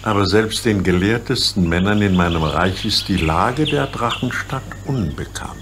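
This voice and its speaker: An actor playing a dignified, solemn old emperor of China with stately, formal speech in a children's radio play. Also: male voice, fairly low pitch, animated, normal speed, noisy background.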